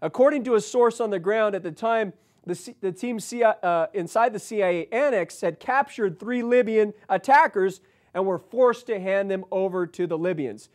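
An American man in his forties giving political commentary, reading news aloud with emphatic, halting asides and repeating himself. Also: high-pitched. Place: indoor studio set, seated at a desk.

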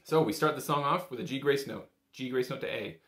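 Young guy giving a music lesson, relaxed and encouraging, mid pitched voice, roomy echo. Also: brisk. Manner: encouraging, easygoing, conversational. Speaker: bagpipe instructor. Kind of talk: casual instructional lesson. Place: small indoor room, seated at a table.

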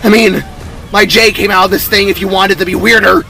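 A man's voice: sits high, fairly even intonation, fairly quick, creaky, bright, forceful tone, faint music behind, voice pushed up, exaggerated voice. A man voicing a tense, worried hero haunted by his past in a story reading. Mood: alarmed.

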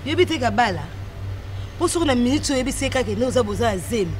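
A woman's voice: fairly high pitch, lively intonation, fast, soft background music, lightly acted. An actress playing an outspoken, scheming young woman advising her friend in filmed theatre dialogue, with a Congolese accent. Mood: persuasive, scheming. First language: Lingala. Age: young adult. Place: outdoors in a walled garden.

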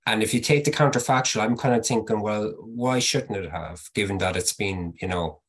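A man's voice: on the low side, moderate pace, husky. A man in his thirties giving academic feedback on a video call, hedging with many qualifiers, from a plain room through a laptop mic. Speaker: discussant.

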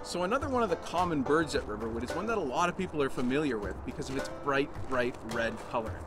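A man's voice: medium pitch, lively intonation, fast, over music. A man in his thirties giving an informal educational presentation outdoors as a nature guide. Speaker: conservation program manager, nature guide. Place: outdoors in snowy woods.

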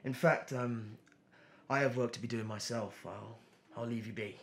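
A man's voice: mid-range voice, steady tone, normal speed, close to an everyday voice. A man playing an obsessive, lovelorn artist in an audio drama, speaking hesitantly and with awkward politeness. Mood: awkward, polite. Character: nervous, obsessive lovelorn male artist narrating his longing. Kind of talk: dramatic monologue and phone dialogue.

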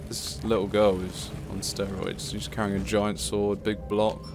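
A man's voice: on the low side, little pitch movement, measured pace. A man casually commentating his gameplay, chatty and self-mocking, swearing at himself when stuck. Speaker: let's play commentator. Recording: game music playing behind.

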